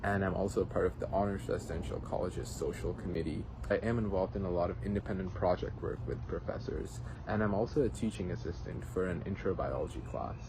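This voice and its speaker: A young man from Trinidad and Tobago introduces himself in a measured, matter-of-fact way. His voice is very deep, and passing traffic is clearly heard behind him. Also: fairly even intonation. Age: young adult. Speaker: student. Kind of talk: casual video chat to camera. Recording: clear background noise with traffic.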